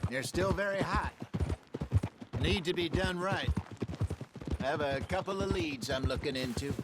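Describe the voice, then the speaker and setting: Male actor as jovial American Western outlaw, folksy and teasing, high voice with big swings, horse sounds loud behind. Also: very noisy, natural voice. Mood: reassuring. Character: jovial, confident middle-aged male Old West outlaw. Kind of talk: naturalistic video game cutscene acting. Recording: clear outdoor game sound effects, horse hooves.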